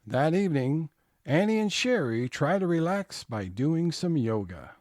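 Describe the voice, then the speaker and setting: Animated, mellow male narrator. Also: fairly high pitch, unhurried, no echo. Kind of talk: scripted narration.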